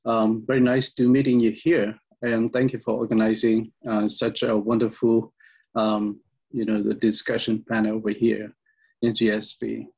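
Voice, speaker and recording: A man's voice: on the low side, flat delivery, normal speed, vocal fry, a little mellow, husky. A man from Burma introducing himself on an online panel, hesitant, with many fillers and restarts. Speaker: panelist, community organization secretary. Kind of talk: self-introduction on a video panel. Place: indoor room on a video call. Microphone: video call. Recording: muffled video call audio.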